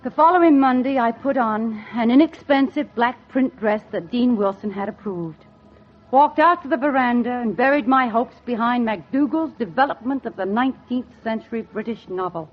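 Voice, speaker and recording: A woman's voice: a little high, normal speed, natural voice. An actress playing a wry, lovelorn single woman, speaking in a dry, genteel, wistful way in a 1940s radio drama. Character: wry, lovelorn single woman on holiday, narrating her hopes. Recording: muffled 1940s radio recording with music.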